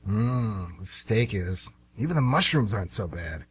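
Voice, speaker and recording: A man's voice: on the low side, very animated, a little slow, slightly rough, full character voice. A man playing a polite, understated hitman who poses as a friendly tourist in an amateur radio play. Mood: casual, agreeable. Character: smooth, rueful male hitman posing as friendly tourist.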